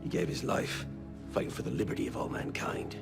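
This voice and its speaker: An actor playing a gruff, hard-drinking older assassin mentor in a video game, sardonic and coarse, with a gravelly voice. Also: male voice, brisk, loud music behind the voice, natural voice. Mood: wry, secretive. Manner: sardonic, coarse-tongued, theatrical.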